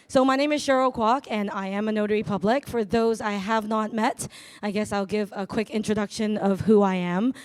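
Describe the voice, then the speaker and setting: A woman with a raspy voice, loud and brash with self-mocking humor, speaking on stage into a handheld mic as a notary at a business event. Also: medium pitch, fairly even intonation, normal speed. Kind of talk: live stage sponsor talk.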